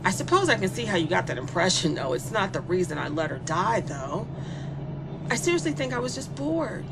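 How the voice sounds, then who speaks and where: Woman playing a young female psychopath, creaky voice, taunting, spoiled and bored, gleefully cruel, chatty horror monologue, music underneath. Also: mid-range voice, sing-song, low-quality recording. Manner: taunting, conversational, punctuated with giggles and drawn-out musing. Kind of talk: dramatic horror monologue in audio fiction.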